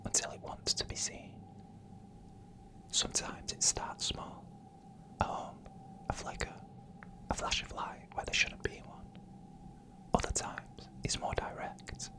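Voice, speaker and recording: Whispered ASMR horror storyteller. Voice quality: breathy, unvoiced whisper.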